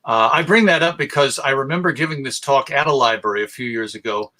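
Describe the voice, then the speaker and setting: A middle-aged American man giving a public lecture on radio history in a measured storytelling way, with deliberate pauses. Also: mid-range voice, bright, forceful tone. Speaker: presenter.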